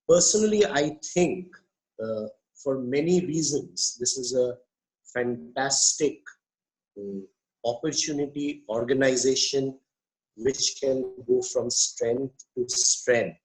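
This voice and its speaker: A man, a psychiatrist giving an online welcome speech, hoarse from a cold and speaking in a measured, deliberate way over a video call. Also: medium pitch, low-quality recording. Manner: measured, deliberate, pauses with fillers.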